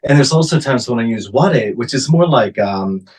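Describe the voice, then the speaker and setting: A man interpreting for an interview guest, speaking her answers in first person in a measured way with plenty of fillers. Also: medium pitch, lively intonation, a little mellow, husky.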